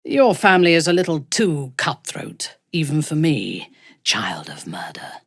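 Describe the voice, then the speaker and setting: A woman playing a ruthless, proud female dark elf warrior in a video game, speaking in a haughty, deliberate, menacing way. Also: low-pitched, wildly swooping pitch, no echo, studio quality, exaggerated voice. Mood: menacing, contemptuous. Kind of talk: video game character dialogue.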